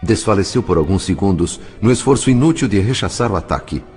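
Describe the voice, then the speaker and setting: A man with a Brazilian accent narrating an audio drama in dramatic, literary, measured phrasing. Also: lowish voice, lively intonation, darkish tone, soft background music.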